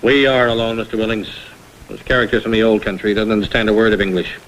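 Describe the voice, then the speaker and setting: An actor playing a proud, blunt, self-made father in a vintage crime drama, wary and curt with a skeptical, forceful manner. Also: lowish voice, steady tone, fast talker, lightly acted, rough recording. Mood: suspicious, impatient. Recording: vintage TV soundtrack, faint noise.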